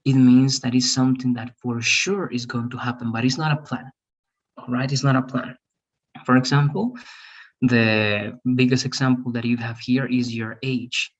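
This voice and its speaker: Guy teaching english online, husky, keeps asking all right?. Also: medium pitch, fairly even intonation, normal speed, dull audio. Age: 30s. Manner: patient, explains step by step, many "all right?" checks. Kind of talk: online grammar lesson.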